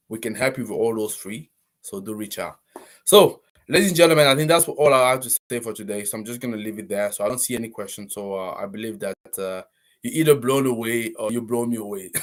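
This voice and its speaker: A man hosting a live stream, talking casually and warmly to viewers, rambling with many fillers and restarts. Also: fairly low pitch. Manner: friendly, encouraging, addresses viewers directly. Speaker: host of a live business stream.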